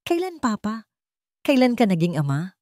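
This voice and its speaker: A woman's voice: a little high, sing-song, moderate pace, warm tone, no room sound, clean studio sound, voice pushed up. A woman voicing a hurt, defiant nine-year-old child in a dramatic story, blunt and bitter. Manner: grave, blunt, final.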